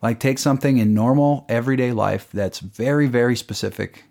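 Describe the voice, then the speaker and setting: A man's voice: medium pitch, fairly quick, vocal fry, darkish tone. A man, a songwriter guest, talking casually in an interview and explaining at length with lots of fillers. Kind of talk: casual radio interview chat.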